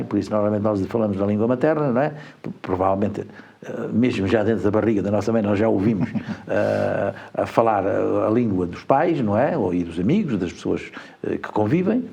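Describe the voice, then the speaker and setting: A man from Portugal speaks with a European Portuguese accent, his voice gravelly and dark. He is a studio interview guest who explains at length, pausing often on drawn-out hesitations. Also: mid-range voice. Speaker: guest, education group administrator.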